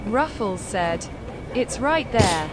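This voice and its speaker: Slow synthetic woman reading chat messages. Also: mid-range voice, expressive. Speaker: text-to-speech reader of viewer messages. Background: outdoor traffic noise.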